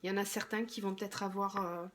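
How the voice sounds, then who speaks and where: A slightly hoarse woman doing a tarot reading, thinking aloud hesitantly and often trailing off into a quieter voice. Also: mid-range voice, steady tone, quick pace, a little hiss or hum. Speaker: tarot card reader.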